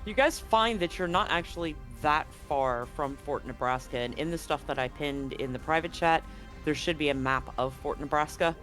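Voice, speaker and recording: A man with a slightly raised pitch guides his players through a grim tabletop scene, pacing each description slowly and deliberately. He often stops and restarts mid-sentence, with faint music underneath. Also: a bit bright. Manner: slow, deliberate scene-setting with frequent restarts. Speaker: game master. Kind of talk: narrating a tabletop horror game.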